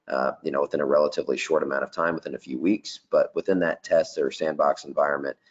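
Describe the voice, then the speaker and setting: A man giving a casual software product presentation in a webinar, with lots of "um" and "you know". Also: rumbling low, husky, lo-fi sound. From not Danish. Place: office.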